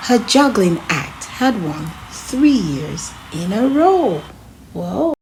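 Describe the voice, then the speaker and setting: Animated woman reading a children's storybook. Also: mid-range voice. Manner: expressive, addresses young listeners with questions. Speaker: children's read-aloud host. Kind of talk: storybook read-aloud narration.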